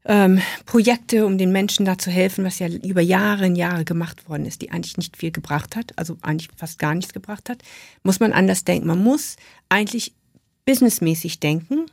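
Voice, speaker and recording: A woman's voice: lowish voice, brisk, vocal fry, darkish tone, no echo, studio quality. A middle-aged woman, a native English speaker, giving a thoughtful radio interview as an author, with frequent restarts and fillers. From Western New Guinea. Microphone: studio microphone.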